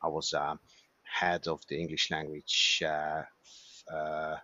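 A man's voice: extremely low pitch, completely flat delivery, takes it slowly, pushed and bright, no echo, lo-fi sound. A Hungarian-accented man in his fifties, an emergency physician, chatting in an interview with many fillers and restarts. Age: 50s. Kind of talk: casual interview chat.

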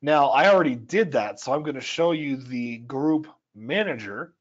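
A man leads a screen-share software tutorial in a relaxed, explanatory way, with plenty of "uh"s and "okay?"s along the way. His voice is dry and a touch scratchy, and it sits at an ordinary middle pitch. The sound is a little dull, like webinar audio. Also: moderate pace. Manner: explanatory, conversational, with frequent fillers. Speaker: software trainer. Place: home or office at altitude.

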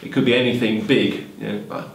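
Man, low-ish voice, hedging vox-pop answer, distant echoey pickup. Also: steady tone, a little fast, gravelly. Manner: thinking aloud, hedging. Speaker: passer-by in a street interview. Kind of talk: casual musing answer.

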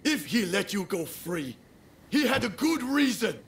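An actor playing a proud, hot-headed and loyal samurai warrior in a video game cutscene, blunt, defiant and formal in speech. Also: male voice, sits high, very animated, measured pace, gravelly, a bit bright, heavily acted. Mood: defiant anger.

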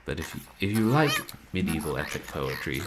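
A man in his thirties, a game streamer chatting casually at home in a wry, rambling, animated way. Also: a bass voice, a little fast, noisy background. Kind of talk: casual livestream chat. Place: small room at home, indoors.

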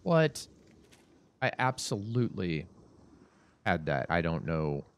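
A man's voice: deep voice, sing-song, takes it slowly, clean tone. A man in his thirties giving rambling, self-mocking commentary while streaming a horror game from his home setup. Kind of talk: casual gameplay commentary.

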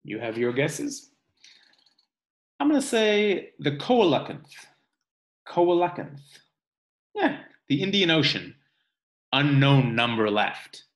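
Male, 30s, unhurried picture-book reader, deliberate and pausing, recorded at home on a device mic. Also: on the high side. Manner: deliberate, pausing, musing asides. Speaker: read-aloud reader. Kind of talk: reading a children's nonfiction picture book aloud, with asides. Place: small indoor room at home.

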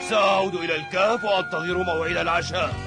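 A man's voice: sits high, steady tone, quick pace, roomy sound, far from the mic, over music. A man voicing a jolly, teasing old male kung fu master in a cartoon dub. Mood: jovial. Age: older. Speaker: kung fu teacher.

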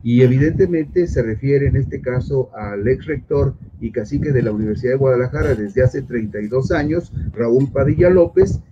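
A man in his sixties with a Mexican Spanish accent speaks as a guest journalist in a deliberate, emphatic, explanatory way. He is on a webcam from a study at home. The sound is muffled and lo-fi, with a clear layer of background noise. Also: mid-range voice. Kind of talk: political commentary. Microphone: video call webcam. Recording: dull lo-fi video call with clear noise.

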